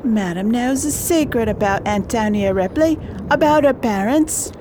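A woman playing a high, halting captive servant. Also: animated, noisy background, natural voice. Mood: reluctant. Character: resentful captured servant woman, an escaped convict. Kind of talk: amateur radio drama acting.

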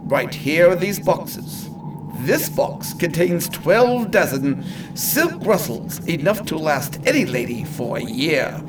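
A man's voice: a little high, very animated, slow pace, far from the mic, over music, rough recording. A man playing an eccentric, chatty inventor and salesman in a radio play, courteous and theatrically proud. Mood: proud, salesmanlike. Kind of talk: amateur radio play acting.

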